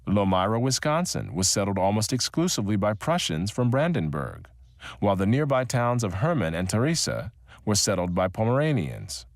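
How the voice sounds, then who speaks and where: A man reading a history audiobook in a measured, even-toned narration. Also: on the low side, no room sound, studio quality.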